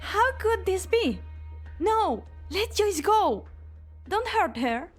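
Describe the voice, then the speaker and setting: A woman voices an idealistic young female scientist in a game fan dub. Her voice is very high and earnest, set over light background music. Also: big pitch swings, slow pace, heavily acted. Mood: alarmed. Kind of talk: amateur fan dub acting.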